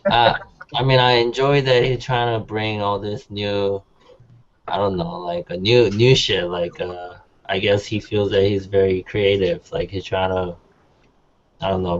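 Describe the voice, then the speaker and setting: A man's voice: lowish voice, highs cut off. A man chatting casually as a panelist, blunt and slangy in how he talks. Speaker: panelist on a music talk show. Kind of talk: casual chat.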